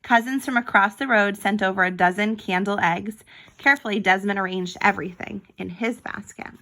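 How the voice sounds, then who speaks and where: A woman's voice: mid-range voice, fairly quick, sharp tone. A woman reading a picture book aloud to young children like a teacher, expressively and with small explanatory asides.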